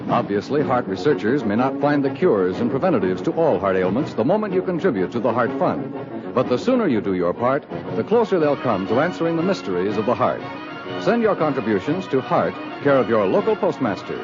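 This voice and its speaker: Male 1950s radio announcer, scripted and very animated, mid pitch, muffled period sound. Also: fairly quick. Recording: dull 1950s radio recording, music behind.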